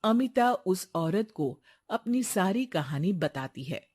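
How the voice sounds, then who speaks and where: A woman's voice: fairly low pitch, lively intonation, slow, darkish tone, breathy edge. A woman narrating a scripted story as the storyteller.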